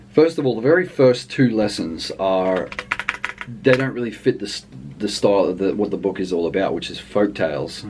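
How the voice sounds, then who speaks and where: Male reviewer, lower register, off-the-cuff and rambling, thinks aloud with hesitations. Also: a little mellow, slight background noise. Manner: hesitant, rambling delivery with trailing thoughts. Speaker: language book reviewer. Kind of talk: casual unscripted review chat.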